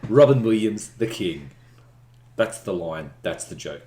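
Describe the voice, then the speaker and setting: A man chimes in on a casual podcast, mostly with quick agreement and silly jokes. His voice is extremely deep and swings widely in pitch. He sounds set back from the mic in an echoey room. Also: expressive, mellow. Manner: brief agreeing interjections and goofy joke riffs. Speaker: podcast co-host. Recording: roomy, off-mic echo.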